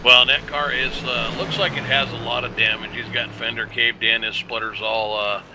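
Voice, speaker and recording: A man giving live color commentary on a race, analyzing calmly with frequent hesitant fillers. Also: mid-range voice, moderate pace, background noise.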